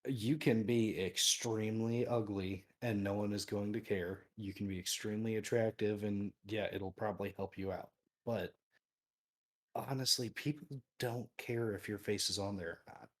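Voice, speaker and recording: A man guesting on a podcast with a deep radio-like voice, easygoing and self-deprecating in casual chat. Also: steady tone, normal speed. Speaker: guest streamer.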